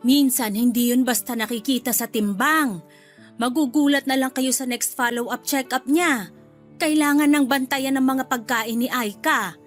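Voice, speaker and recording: A woman's voice: fairly high pitch, close to an everyday voice. A woman playing a meddling middle-aged mother-in-law in a radio drama, chatty and sweetly critical. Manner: chatty, fussing, needling under politeness.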